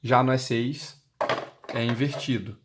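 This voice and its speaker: A Brazilian man with a mid-pitched voice explains a gadget step by step, unscripted. His delivery is plain and instructional, repeating steps as he goes. The recording has clear noise behind him. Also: fairly even intonation, slow pace. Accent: Brazilian Portuguese. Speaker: intercom seller giving a product demonstration. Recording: clearly noisy recording with clicks.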